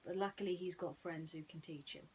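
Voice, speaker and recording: A woman hosting and narrating bedtime stories in a gentle storytelling style. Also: on the low side, steady tone, fairly quick, slight background noise.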